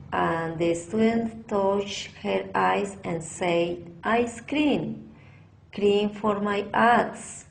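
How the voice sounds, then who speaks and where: Slow, creaky woman. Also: medium pitch, slight background noise, rough recording. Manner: halting, careful delivery with ESL grammar slips. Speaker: English student. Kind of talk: read-aloud class presentation.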